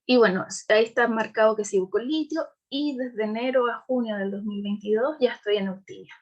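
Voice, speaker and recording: A woman's voice: a little high, brisk, dull audio. A woman with a Chilean accent gives an informal talk as a patient, speaking candidly with frequent fillers and restarts. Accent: Chilean Spanish. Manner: candid, laughs while telling her story. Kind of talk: informal presentation on a video call.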